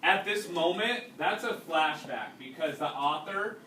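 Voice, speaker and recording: A man with a fairly high, very bright and forceful voice reads aloud like a classroom teacher. He tells the story and explains it to young listeners as he goes. The sound is roomy and set back from the mic. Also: lively intonation, measured pace. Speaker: teacher reading aloud to students. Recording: roomy, distant mic with faint noise.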